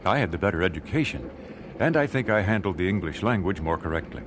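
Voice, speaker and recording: An actor playing a thoughtful, genteel American singer recalling his life in a radio drama, measured and well-spoken. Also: low-pitched, animated. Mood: reflective. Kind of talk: radio drama first-person narration. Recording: noisy old radio drama recording.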